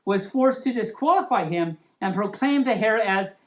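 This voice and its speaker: A woman reading a story aloud as a storytime host, playful and silly in an expressive way. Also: mid-range voice, normal speed.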